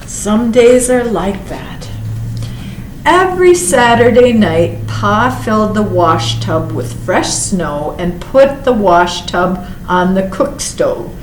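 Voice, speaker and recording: A woman reads a picture book to children at a slow, measured pace. She is expressive, stretching out words and slipping in short asides. The recording is roomy and echoey, with faint noise behind her. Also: medium pitch. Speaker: library storytime reader.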